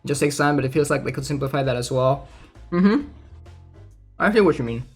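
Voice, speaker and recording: A man streaming casually about a game, reading aloud and thinking out loud in a hesitant way with plenty of filler words. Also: mid-range voice, animated, a little fast, slightly rough, soft background music. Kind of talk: casual livestream commentary, reading aloud and reacting.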